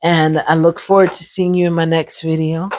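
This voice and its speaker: A woman, hosting her own channel and telling viewers about her brain injury in a casual, chatty talk to the camera. She calls her viewers "y'all", laughs now and then, and her voice sounds a little sore and hoarse. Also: low voice, little pitch movement, normal speed, a little mellow.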